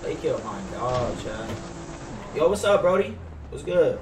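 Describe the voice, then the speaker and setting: A young American man streams a game in loose, slangy chat. His voice sits back from the mic in a roomy space, with loud noise around it. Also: a little high, big pitch swings, a little slow, recorded from a distance. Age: young adult. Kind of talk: casual in-game role-play chat with slang. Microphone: headset.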